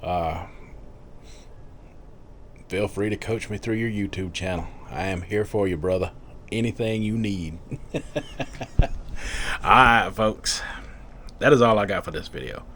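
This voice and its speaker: A man in his fifties talks casually to his viewers, rambling along with plenty of fillers and soft chuckles. His voice sits a little low. A faint noise runs under the recording. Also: a little slow, long pauses. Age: 50s. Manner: chatty, rambling, with frequent fillers and soft laughs. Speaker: vlogger. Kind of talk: casual diet vlog.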